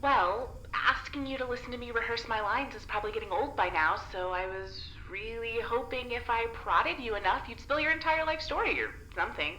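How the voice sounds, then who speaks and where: A woman's voice: a little high, a little fast, hardly any pauses, bright, forceful tone, echoey room, slight background noise, rough recording. A woman playing a cheeky young female prisoner in a naturalistic audio drama, prying and teasing with dry, sardonic wit. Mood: playful, prying.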